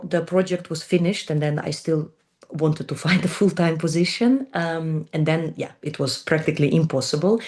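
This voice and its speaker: A woman's voice: low-pitched, warm tone. A Ukrainian woman in her twenties whose first language is Russian, speaking fluent but non-native English as an interview guest, with frequent ums and repeated words. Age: 20s. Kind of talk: casual interview talk.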